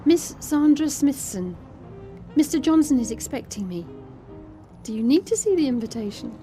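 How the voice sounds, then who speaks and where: A woman's voice: high voice, big pitch swings, normal speed, warm tone, soft background music. Wry, confiding young woman. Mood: polite. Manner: confiding, wry, self-mocking. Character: young woman confiding, scheming revenge with her mum. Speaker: lead in a one-woman audio drama. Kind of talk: intimate audio drama monologue.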